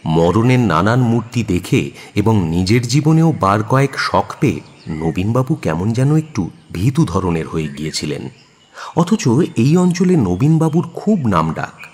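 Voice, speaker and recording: Male narrator, low-pitched, husky, very expressive intonation, audio story. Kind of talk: scripted dramatic story narration.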